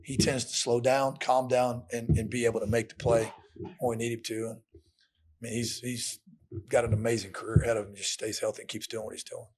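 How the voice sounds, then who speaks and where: A man's voice: medium pitch, little pitch movement, a little fast, a little mellow, slightly rough. A middle-aged American man, a football coach, answering questions in a plain, colloquial way at a post-game press conference podium. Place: indoor press room at a podium. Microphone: podium microphones.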